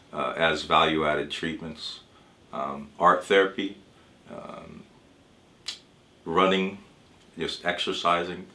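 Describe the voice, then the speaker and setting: A man playing a reassuring cancer doctor in a role-play, explaining things in a measured, hesitant way. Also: extremely low pitch, pushed and bright, heavily acted. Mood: explanatory, measured. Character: reassuring male cancer doctor leading a care team. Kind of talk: amateur role-play dramatization. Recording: echoey room.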